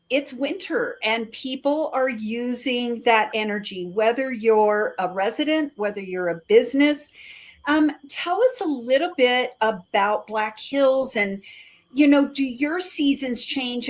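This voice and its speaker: Upbeat woman hosting a chamber livestream. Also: a little high. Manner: upbeat, promotional, enthusiastic. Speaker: chamber of commerce director hosting a live show. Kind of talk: community livestream talk.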